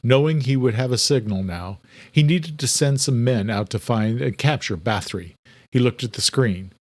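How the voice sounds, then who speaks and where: A man's voice: medium pitch, moderate pace, darkish tone, no room sound. Male horror audiobook narrator, dry sound. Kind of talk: dramatic scripted horror narration.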